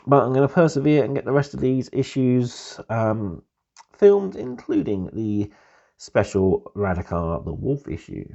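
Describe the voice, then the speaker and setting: A man with a cold, hoarse and husky, giving a relaxed, easygoing review of a hobby magazine as the channel's host. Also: medium pitch, a little slow. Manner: relaxed, easygoing, keeps calling things pretty cool. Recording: dull lo-fi recording.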